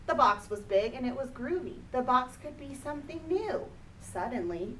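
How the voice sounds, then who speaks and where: A woman's voice: high voice, fairly bright tone. A woman reading a children's picture book aloud expressively, drawing out key words for emphasis. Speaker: storybook reader. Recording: distant mic, faint background noise.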